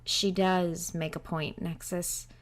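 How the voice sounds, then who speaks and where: A woman playing a cool, questioning female pony cultist in an audio drama, speaking in a measured, precise way. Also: fairly low pitch, flat delivery.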